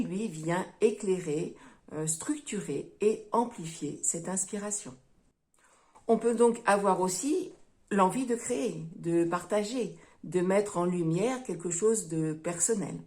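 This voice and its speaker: A woman giving a tarot reading to her viewers, speaking gently and unhurriedly, often pausing with hesitations and calling them her friends. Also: on the low side. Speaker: tarot reader.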